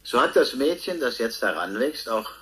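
Male professor for radio play interview: mid-pitched, very animated intonation, pointed, pedantic, defensive. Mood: explanatory, precise. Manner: pointed, pedantic, deliberate emphasis with hesitations. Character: proud, defensive male professor, Nobel-winning scientist. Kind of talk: radio drama played as a broadcast interview.

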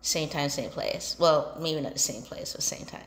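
Woman maybe 30s just chatting to her phone, lowish voice, takes her time w lots of pauses, roomy echo. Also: very noisy. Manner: unhurried, pausing between short phrases while busy with chores. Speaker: solo entrepreneur and vlogger. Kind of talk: casual chat to camera. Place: large indoor basement-like room with exposed pipes. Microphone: phone propped at about arm's length or more. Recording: echoey room.

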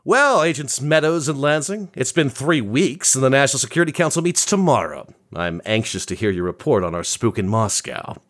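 A man's voice: mid-range voice, very animated, fairly quick, few pauses, a bit bright. A man playing an authoritative intelligence boss in an audio drama, formal and commanding. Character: authoritative male intelligence boss demanding a report.

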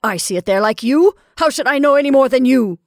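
A woman voicing a rigid, sharp-tongued woman in a story, curt and indignant, holding herself in control. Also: mid-range voice, takes it slowly, no room sound, clean studio sound.

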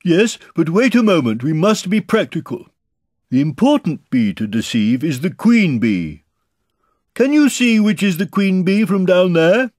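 A man's voice: high voice, sing-song, unhurried, no echo, studio quality, voice pushed up, heavily acted. A man voicing an earnest, scheming, slightly anxious toy bear in a children's storybook, with a ponderous, solemn manner. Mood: urgent, earnest. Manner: ponderous, solemn, calling out loudly. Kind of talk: children's storybook character reading.

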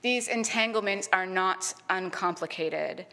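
A middle-aged woman from Canada delivers a prepared history lecture in a voice of medium pitch. She speaks in a measured, earnest way and leans on key words with deliberate emphasis. Her voice carries a long reverb, as in a lecture hall. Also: pushed and bright, a little hiss or hum. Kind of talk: reading a prepared public lecture.

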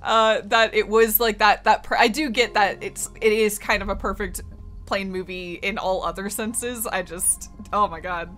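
Bright, bubbly young woman streamer. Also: steady tone, normal speed, faint music behind. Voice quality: low gravelly voice. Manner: bubbly, laughs often, trails off in restarts. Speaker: streamer and voice actor. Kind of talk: casual chat while gaming.

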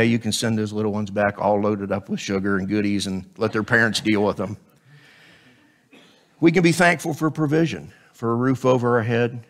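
Scratchy low-ish voice, guy in his 50s preaching at a church pulpit, headset mic, chatty with little jokes. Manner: conversational, joking asides between scripture. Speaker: guest preacher. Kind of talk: sermon.

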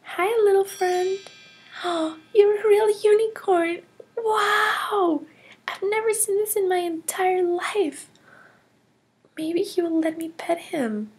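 A woman's voice: extremely high pitch, takes it slowly. Hannah, woman doing a gasping curious girl. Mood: amazed. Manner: gasping, whispering wonder. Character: curious cheerful girl on a ski holiday. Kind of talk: toy play storytelling.

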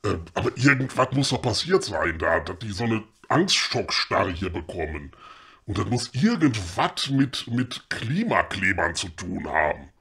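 A man playing a gruff, sarcastic, impatient fellow in a comedy audio drama, husky. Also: lowish voice, very animated, unhurried, pushed and bright, full character voice. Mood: dry, probing. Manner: colloquial, dry, sarcastic, blunt.

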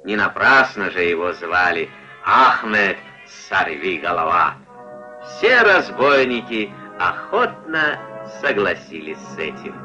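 A man tells a fairy tale in expressive, theatrical fashion, his pitch swinging widely and his tone bright and forceful. The sound is the dull soundtrack of a 1950s film, with music behind it. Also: slow pace. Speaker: narrator. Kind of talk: dramatic storybook narration for a 1950s puppet film.

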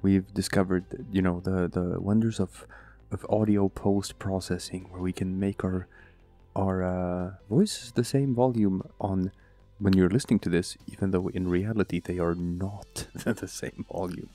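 A male podcast co-host with a booming voice, talking softly and slowly right next to the microphone in casual chat, often chuckling. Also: steady tone, faint music behind. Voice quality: booming, gravelly voice. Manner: soft, close-mic murmur, chuckles often. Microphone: close studio mic.

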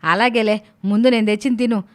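A woman's voice: mid-range voice, fairly even intonation, fast, natural voice. A woman playing a fond, teasing village mother who scolds affectionately in homely colloquial speech, in a story cartoon. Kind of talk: animated moral story dialogue.

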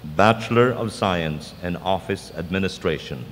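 A man with a very deep voice reads a formal presentation into podium microphones. His words ring out with a long hall reverb. Faint noise sits underneath. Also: normal speed, fairly bright tone. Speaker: college dean. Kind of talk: formal ceremonial address.

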